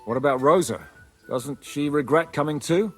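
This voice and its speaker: Medieval leader, male actor, video game: plain-spoken and blunt, fairly high pitch, faint music behind. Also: moderate pace, natural voice. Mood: wry, conversational. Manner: blunt, matter-of-fact. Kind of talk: naturalistic video game cutscene acting.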